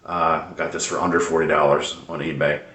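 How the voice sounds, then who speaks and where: A man giving casual, unscripted product tips as a hobbyist presenter, explaining in a hesitant, halting way. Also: extremely low pitch, level tone, a bit bright, reverberant.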